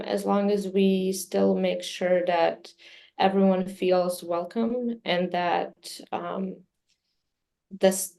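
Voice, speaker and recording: A woman whose first language is Serbian, answering interview questions in a measured way with many fillers. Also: medium pitch, gravelly, warm tone. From Midwest US. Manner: measured, many fillers, speaks of herself personally. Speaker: communications director, interview guest.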